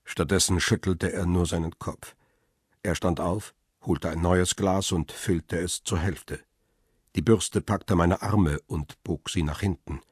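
An actor playing a world-weary photographer who narrates his ordeal in a radio crime play, sombre and deliberate. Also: low-pitched, husky, dry recording, studio quality. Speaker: first-person narrator. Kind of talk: dramatic scripted narration.

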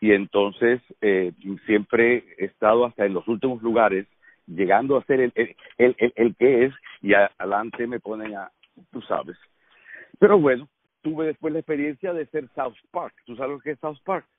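A middle-aged man with a Cuban accent, an actor telling stories in a casual radio chat, lively and laughing often. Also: medium pitch, normal speed. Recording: dry phone-line sound.

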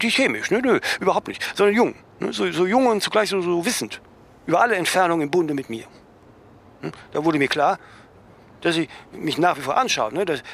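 Reflective, ironic man, slightly high-voiced. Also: a bit bright, slight background noise. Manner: halting reflective monologue with questioning tags. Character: cool, self-absorbed, ironic man in conversation, grieving his mother. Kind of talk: radio drama acting.

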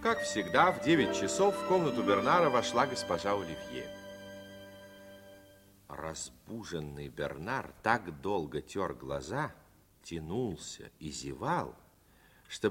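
A man narrating a 1950s radio drama as a measured, expressive storyteller. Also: sits low, breathy edge.

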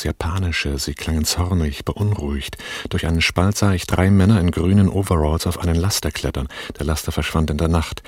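An actor in a German radio drama playing a cool, controlled gunrunner, terse and matter-of-fact. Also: male voice, sits low, darkish tone, husky, dry recording, studio quality. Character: Altmaier, cool, controlled male gunrunner who takes command. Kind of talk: German radio drama acting and first-person narration.

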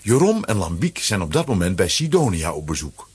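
A man with a husky, rough voice reading scripted narration for a children's story. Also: sits low, big pitch swings, fairly quick, dry recording.

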